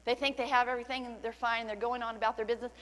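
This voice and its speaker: A middle-aged woman pastor preaching a sermon with fervent praise and scripture reading, her voice slightly cracking from loudness. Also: on the high side, little pitch movement, rapid-fire. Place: large church stage indoors. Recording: long hall reverb, faint hum.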